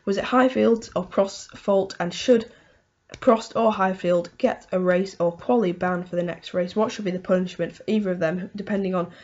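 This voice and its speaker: A woman with a hoarse voice from a cold, hosting a casual motorsport race review and rambling through it with lots of "um" and "you know". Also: mid-range voice, steady tone, normal speed, darkish tone, lo-fi sound. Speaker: motorsport video host.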